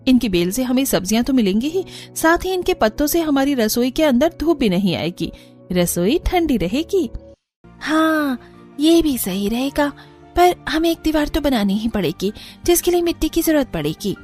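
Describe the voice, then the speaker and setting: A woman's voice: sits high, big pitch swings, normal speed, darkish tone, soft background music. A woman voicing a cheerful, determined young village woman in a cartoon, earnest and eager. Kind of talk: animated Hindi cartoon story acting.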